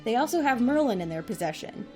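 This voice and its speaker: A woman acts an irreverent young director in an audio drama. She is wry and sarcastic in a casual way, her voice a little high, with faint music behind. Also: big pitch swings, fast, dark tone. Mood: frank, sardonic.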